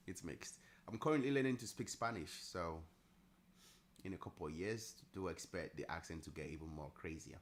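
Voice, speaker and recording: A man with a mixed Nigerian and Italian accent, chatting casually and thinking aloud on a live trading stream, easygoing and quick to laugh. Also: low voice, a little hiss or hum. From Nigeria, raised partly in Italy. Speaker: trading livestream host. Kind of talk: casual live-stream chat answering viewers.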